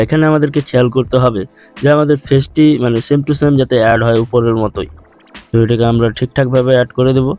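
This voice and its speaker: A man narrating a step-by-step software tutorial in an instructional way, repeating steps as he goes. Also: medium pitch. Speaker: tutorial host. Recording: dull lo-fi voice track with music. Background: background music.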